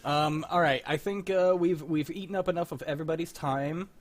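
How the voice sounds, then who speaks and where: A man's voice: fairly high pitch, steady tone, a little fast. A man hosting a live stream of old radio script readings, chatting casually and joking, swearing now and then. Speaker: host of an old-time radio table-read stream. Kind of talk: casual chat.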